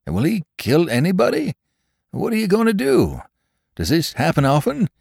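Man putting on a nervous salesman, storybook, raised excitable voice, lively swoops. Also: normal speed, dry recording, clean studio sound. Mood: anxious. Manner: high, excitable questioning. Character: jocular, nervous male traveling salesman.

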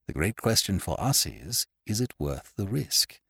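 Man playing a measured, authoritative barrister, dry sound. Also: deep voice, very animated, creaky, fairly bright tone, slightly rough, no room sound, pitched above the performer's own voice, full character voice. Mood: deliberating. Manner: measured, probing, formal. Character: cool, authoritative senior male barrister.

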